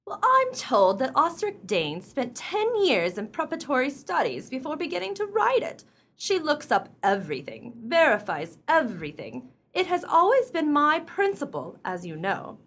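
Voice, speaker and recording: A woman acting in a radio play as a haughty, high-minded society matron with a prim, emphatic delivery. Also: huge pitch swings, moderate pace, few pauses, a bit bright, slight background noise, full character voice. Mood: earnest, lofty.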